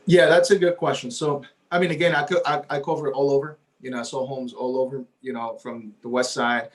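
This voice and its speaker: Man, native Spanish speaker, realtor, eager, talkative, middle pitch, tags sentences with "right?". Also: level tone, a little fast. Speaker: realtor guest. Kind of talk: casual interview talk.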